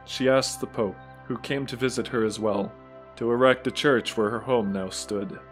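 A man's voice: mid-range voice, fairly even intonation, normal speed. A man narrating a scripted religious audio drama as storyteller. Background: music.

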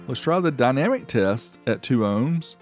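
A man's voice: mid-range voice, animated, unhurried. A middle-aged American man giving a casual product review voice-over, with playful, showy emphasis. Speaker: host of car audio amplifier review channel. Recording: music faintly behind the voice. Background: background music.

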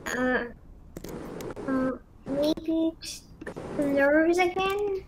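Slow, hesitant young schoolgirl played by a woman. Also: very high-pitched, full character voice. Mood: nervous, unwell. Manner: hesitant, halting. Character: shy, nervous young schoolgirl, a twin. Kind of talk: improvised voiced game roleplay. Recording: noisy home mic with room ambience.

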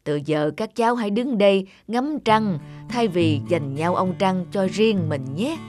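A woman's voice: mid-range voice, animated, a little slow, over music, close to an everyday voice. A woman voicing a wise, kindly old grandfather monkey in a children's cartoon, patiently instructing. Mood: patient, teaching. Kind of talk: animated children's fairy tale acting.

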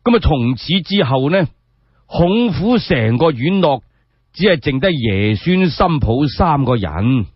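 Animated Cantonese male storyteller, husky voice. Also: a little high, no room sound. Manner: expressive, measured, with rhetorical asides. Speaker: solo storyteller. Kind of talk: dramatic scripted narration, radio storytelling. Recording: dull lo-fi radio recording.

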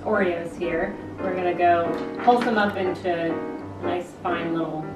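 A woman's voice: on the low side, steady tone, a little slow. Roomy-sounding American woman, casual cooking host. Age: young adult. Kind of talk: casual recipe demonstration. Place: home kitchen, indoors. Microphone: camera a few steps away. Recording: roomy, distant mic, loud music behind.

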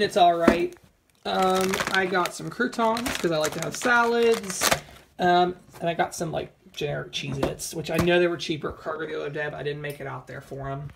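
Creaky-voiced woman chatting casually. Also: fairly low pitch, noisy background. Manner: hedging, informal, rambling. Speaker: vlogger. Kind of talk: casual haul vlog chat. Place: indoors at home, over a table of groceries.

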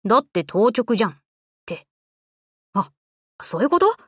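A woman voicing a brash, tomboyish young warship girl in an anime game, talking in rough, cocky, casual boyish slang. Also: a little high, big pitch swings, normal speed, a bit bright, no echo, studio quality, full character voice. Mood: breezy, casual. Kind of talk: Japanese anime game voice lines.

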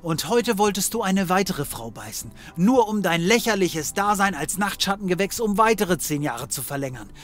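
A wry occult detective in a comedy audio drama. His voice is high for a man and bright, full of cocksure bravado, with light music behind it. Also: big pitch swings, normal speed, hardly any pauses. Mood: defiant. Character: cocky male occult detective, fear hidden under bravado.

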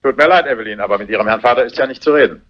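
An actor playing a courteous, controlled family doctor with a sinister edge in a crime film. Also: male voice, medium pitch, very fast, fairly bright tone, lightly acted. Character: smooth-mannered, guarded male family doctor with sinister edge. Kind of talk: German dubbed crime film dialogue. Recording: dull, muffled old film soundtrack.

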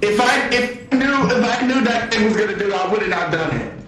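A man's voice: sits high, fast talker, fairly bright tone. A man chatting casually during a multiplayer game, often repeating his words and phrases. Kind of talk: casual multiplayer gaming chat. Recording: roomy echo, faint music behind.

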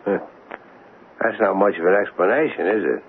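An actor playing a dogged, suspicious police detective, deliberate and insistent, in a 1970s radio drama. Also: male voice, sits low. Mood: probing, skeptical. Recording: narrow-band 1970s radio broadcast, faint noise.

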